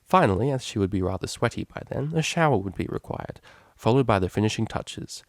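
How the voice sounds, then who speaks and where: A man's voice: lowish voice, lively intonation, brisk, no room sound, clean studio sound. A man reading fan fiction aloud as scripted story narration.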